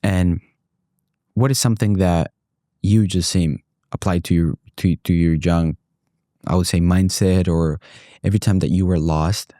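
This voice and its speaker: A podcast host with a low, dark voice, recorded close in a dead, dry space. He asks long, winding questions and keeps rephrasing them as he talks. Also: no room sound. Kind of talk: conversational interview questions.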